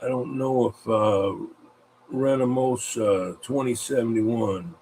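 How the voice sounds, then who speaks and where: Slow-paced, congested man chatting on a livestream. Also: mid-range voice, a little mellow, low-quality recording. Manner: folksy, rambling, muttering to himself. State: congested, frequent coughing and throat clearing. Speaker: livestream host of a vintage radio show. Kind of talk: casual livestream chat, greeting viewers by screen name. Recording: clear background noise, room ambience.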